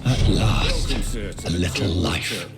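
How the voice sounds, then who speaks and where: A man's voice: low-pitched, takes it slowly. A man playing a tormented, newly turned vampire in a video game, brooding in formal, theatrical monologue. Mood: grim, searching. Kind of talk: dramatic video game acting, inner monologue. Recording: loud game music behind. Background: game music.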